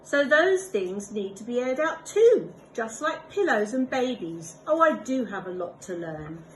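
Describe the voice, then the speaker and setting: A woman voicing a cheerful, literal-minded housekeeper in a read-aloud storybook, speaking slowly and emphatically. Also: on the high side, big pitch swings, a little hiss or hum, voice pushed up, rough recording.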